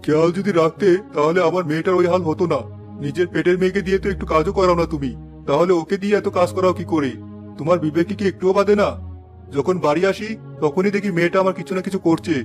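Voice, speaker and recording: A man's voice: high voice, fast talker, faint music behind, close to an everyday voice. Man voicing a protective village father. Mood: firm. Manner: firm, protective. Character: caring middle-aged village father.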